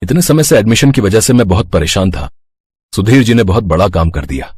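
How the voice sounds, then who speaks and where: A man playing a conciliatory family man, a caring brother and husband, in an animated Hindi family story, with a husky voice. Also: lowish voice, fairly quick, no room sound. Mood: grateful.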